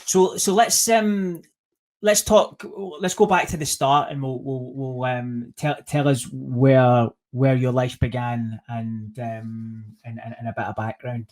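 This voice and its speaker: A man's voice: medium pitch, steady tone, a little slow, darkish tone. A man hosting a podcast interview in a chatty way, often restarting and repeating words. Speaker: podcast host. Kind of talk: casual interview.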